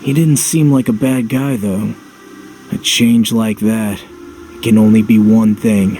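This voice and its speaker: An actor playing a brooding, world-weary young hero in a video game, terse, dry and understated. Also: male voice, fairly low pitch, fairly even intonation, slow pace, warm tone. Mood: dry, pensive. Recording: background music. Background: game music.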